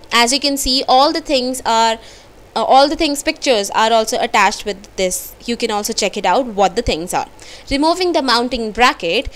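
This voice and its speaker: Woman host, high voice, reads instructions over slides, drops off at line ends. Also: fairly bright tone. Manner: reads steps aloud with small slips, falls off at line ends. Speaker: channel host.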